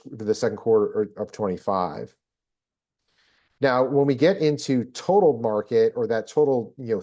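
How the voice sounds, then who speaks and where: A man hosting a business data presentation, explaining figures in a measured, analytical way. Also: mid-range voice, darkish tone. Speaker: host presenting a job market report.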